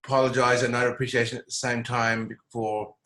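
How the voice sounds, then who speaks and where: A man in his fifties whose speech is slurred from lip paralysis, speaking carefully and deliberately as a panelist on a video call, with a dry, self-mocking humor. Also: medium pitch, level tone, sharp tone. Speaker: panelist, screen and performing arts producer. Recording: roomy video call audio.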